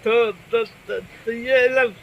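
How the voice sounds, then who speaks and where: High-voiced man, halting, slightly sore throat. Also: steady tone, vocal fry, muffled recording, a little hiss or hum. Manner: halting, with many restarts and repeated phrases. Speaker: channel host. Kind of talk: casual devotional vlog with blessings.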